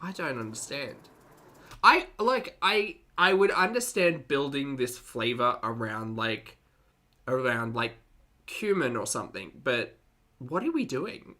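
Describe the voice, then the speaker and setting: A bright, forceful voice with lively swings in pitch gives casual reaction commentary. The delivery is unscripted, incredulous and amused, with swearing dropped in freely. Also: a little slow.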